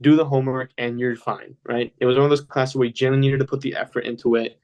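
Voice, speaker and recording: A man's voice: mid-range voice, flat delivery, fast talker, darkish tone, highs cut off. Casting note: young male guest, Polish-speaking home, loose rambling chat, lots of fillers. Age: young adult. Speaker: podcast guest. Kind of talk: casual interview talk.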